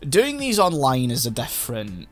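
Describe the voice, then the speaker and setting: A man talks over faint background music in a mid-pitched voice, meandering as he co-hosts an anime podcast. He circles his points with plenty of fillers and half-finished restarts, recorded at home during an online call. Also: huge pitch swings, moderate pace. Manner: rambling, lots of "like" and "um" fillers. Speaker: podcast co-host. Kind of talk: casual chat about anime.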